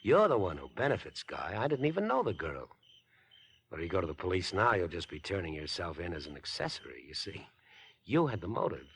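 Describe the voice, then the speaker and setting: Smooth, low-voiced, insinuating killer. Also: male voice, huge pitch swings, a little fast, fairly bright tone, dry recording, full character voice. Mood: coaxing, menacing. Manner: wheedling, falsely friendly, casually menacing. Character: smooth, insinuating, grown-up mama's-boy murderer with obsessive friendliness. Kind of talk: 1950s radio suspense acting. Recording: noisy vintage 1950s radio broadcast.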